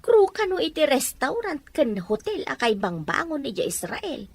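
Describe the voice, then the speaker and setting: A woman acting a practical middle-aged mother in an Ilocano audio drama, husky, with a Filipino Ilocano accent. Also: high-pitched, sing-song, moderate pace. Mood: informative. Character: practical mother of a grown daughter, urging plans forward.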